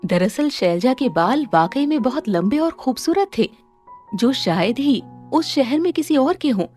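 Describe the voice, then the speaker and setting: A woman's voice: high voice, big pitch swings, measured pace, darkish tone. A woman reading a scripted moral story as its narrator, with music under her voice.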